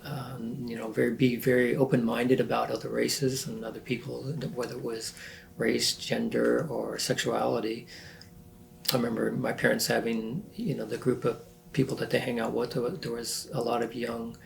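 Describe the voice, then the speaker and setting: Gravelly older man reminiscing haltingly. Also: mid-range voice, level tone, slight background noise, rough recording. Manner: halting, self-correcting, many fillers. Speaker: oral history interviewee. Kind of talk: reflective recollection.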